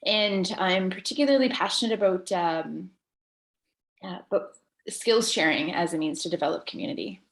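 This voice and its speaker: A woman from Atlantic Canada, measured and earnest, introducing herself in community development. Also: lowish voice, gravelly. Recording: roomy video call audio.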